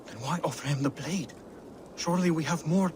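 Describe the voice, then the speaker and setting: An actor playing an earnest, disapproving young assassin acolyte in a video game, speaking stiffly and formally. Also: male voice, a little high, fairly quick, noisy background, natural voice. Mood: grudging, doubtful. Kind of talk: video game cutscene acting.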